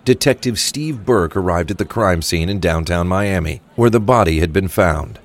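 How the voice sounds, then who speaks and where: A man's voice: sits low, animated, moderate pace, slight background noise. A man narrating a scripted crime audio drama.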